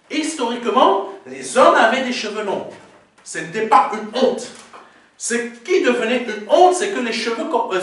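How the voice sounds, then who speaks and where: A male pastor preaches in a church sanctuary with a high, lively voice that swings up and down. He teaches point by point, often pausing for "Amen". The sound echoes heavily around the hall, picked up from a distance. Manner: teaching, explaining Greek words. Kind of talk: sermon. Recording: very echoey hall, mic far off.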